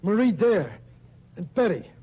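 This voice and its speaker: An actor playing a defensive gangster boss in an old-time radio crime drama, blunt, slangy and indignant. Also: male voice, high voice, big pitch swings, a little slow, husky, full character voice. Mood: wary, defensive. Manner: blunt, slangy, indignant tough-guy talk. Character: gruff, defensive male gangster nightclub boss. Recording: muffled old radio recording.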